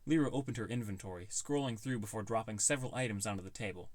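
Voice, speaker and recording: A man's voice: on the low side, fast talker, dry recording. A man reading scripted first-person audiobook narration.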